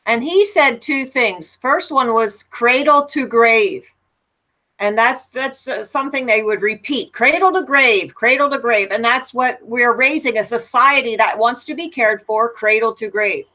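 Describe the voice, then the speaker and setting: An American woman with a loud voice that carries, chatting casually and telling anecdotes with emphasis on an online panel. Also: on the high side, a little slow. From United States. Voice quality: loud, projecting voice. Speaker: panel guest.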